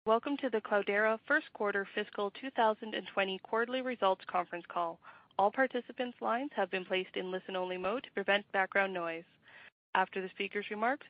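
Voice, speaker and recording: A woman's voice: medium pitch, dry recording. Female operator on dull phone audio. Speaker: conference call operator. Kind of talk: scripted announcement. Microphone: telephone conference line. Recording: dull, dry telephone-line audio.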